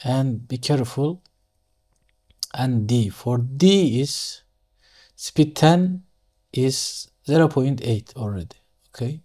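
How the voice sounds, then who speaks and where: A man with a Turkish accent, a native Turkish speaker, giving an unscripted step-by-step software tutorial, thinking aloud haltingly and correcting himself as he goes. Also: mid-range voice, lively intonation. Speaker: engineering software tutorial instructor. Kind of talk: unscripted screen-share tutorial, step-by-step explanation.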